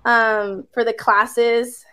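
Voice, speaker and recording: Young woman English tutor, native Spanish speaker, high bright voice, relaxed unhurried pace, chatty and giggly over a video call. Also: clean tone. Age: young adult. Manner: bubbly, chatty, laughs often. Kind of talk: casual chat on a video call. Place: indoor room.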